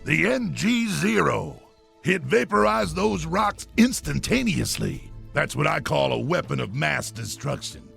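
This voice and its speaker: An actor playing a blustering, bossy old army colonel with a gravelly voice. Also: male voice, a little high, sing-song, a little slow, fairly bright tone, soft background music, exaggerated voice. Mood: gruff. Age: older. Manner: gruff, boastful and commanding.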